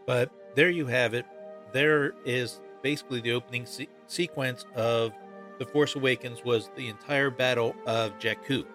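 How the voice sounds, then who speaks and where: A man's voice: medium pitch, fairly even intonation, normal speed, a bit bright, soft background music. A man hosting a solo fan podcast, talking through his opinions emphatically and repeating points for stress. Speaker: podcast host. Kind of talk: solo fan commentary on films.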